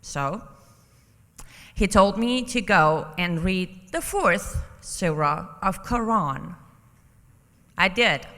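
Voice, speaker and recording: A woman from Iran, a native Persian speaker, giving a personal testimony as a conference speaker, deliberate and emphatic with dramatic pauses. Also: medium pitch, lively intonation, a bit bright. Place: conference hall, public address. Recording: reverberant hall.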